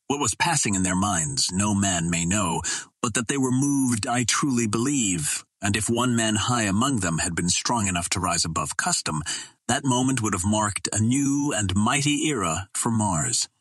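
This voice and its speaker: A man narrating an old adventure novel in a measured, theatrical way, as a scripted dramatic reading. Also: fairly low pitch, expressive, a bit bright, breathy edge, dry recording. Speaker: audiobook narrator.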